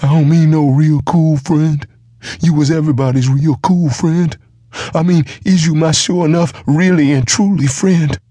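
A man's voice: on the high side, fairly even intonation, moderate pace, warm tone, dry recording, pitched above the performer's own voice, natural voice. Man doing a whining half-wit giant. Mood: pleading. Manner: whining, insistent, pleading. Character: hulking half-witted giant man, anxious and clingy.

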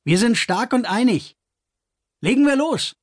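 A man's voice: very high voice, animated, pitched above the performer's own voice, full character voice. A man voicing a brash young hothead. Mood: confident. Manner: brash, fast, challenging. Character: bold, defiant young man, strong and determined.

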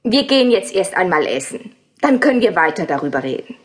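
An actress playing a worried, sceptical woman companion in a horror audio drama, husky-voiced and urgently insistent. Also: on the low side, very animated, brisk. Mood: concerned, insistent. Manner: urgent, pressing.

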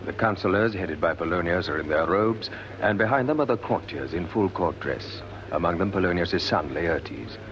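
Casting: formal British male narrator, very low pitch, scripted radio announcing, dull vintage sound. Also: expressive, normal speed, crowd noise. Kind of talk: scripted scene-setting narration for radio drama. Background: music.

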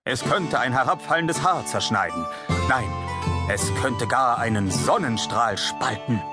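A man's voice: medium pitch, expressive, measured pace, over loud music, voice pushed up, close to an everyday voice. A man voicing a noble, earnest knight, proud and courtly, with solemn archaic phrasing in an audiobook.

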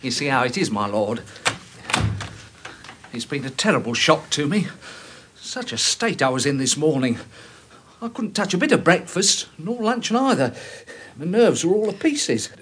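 A man's voice: fairly high pitch, sing-song, moderate pace, pauses often, a bit bright, slight background noise. An actor playing a timid, fussy, deferential Englishman in a radio drama, stammering and obsequious, with an English accent. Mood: shaken, prim. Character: timid, deferential, fussy little lower-middle-class Englishman. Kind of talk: full-cast BBC radio drama acting.

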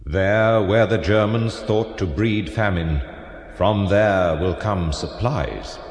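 An actor playing a solemn French Renaissance prophet and physician in an audio drama, declaiming gravely in formal, archaic phrases. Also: male voice, lowish voice, takes it slowly. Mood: ominous prophecy. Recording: long cavernous reverb on voice.

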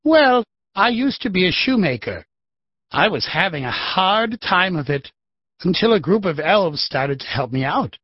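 A man playing a gentle, eager, bumbling novice magician, earnest and friendly, in a children's audiobook. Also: high-pitched, very animated, measured pace, dry recording, exaggerated voice. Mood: enthusiastic. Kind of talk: children's full-cast audiobook acting. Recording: dry, slightly dull audio.

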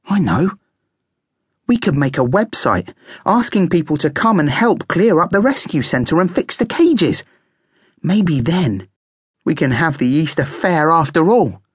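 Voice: mid-range voice, very animated, measured pace, slightly rough, dry recording, studio quality, voice pushed up, full character voice. A narrator voicing an earnest, eager male guinea pig who reasons hopefully in a children's story.

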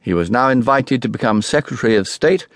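A man with an English accent giving a formal, measured, oratorical reading of literary history as a narrator. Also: medium pitch, lively intonation, dry recording, studio quality.

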